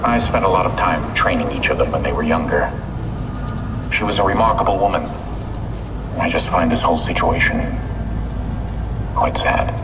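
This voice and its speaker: A man's voice: deep voice, normal speed, long pauses, close to an everyday voice. A man playing a stern, reserved middle-aged leader in a video game drama, terse and guarded. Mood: pensive. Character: stern, reserved older male leader of secret operatives. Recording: distant, long echo, background noise.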